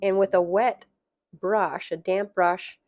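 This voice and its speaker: A woman narrating a watercolor painting tutorial, hoarse and losing her voice, soft-spoken and chatty. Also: medium pitch, steady tone, slow pace, no echo, lo-fi sound, soft background music. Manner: soft-spoken, chatty, with fillers and light laughs. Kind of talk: relaxed voice-over tutorial.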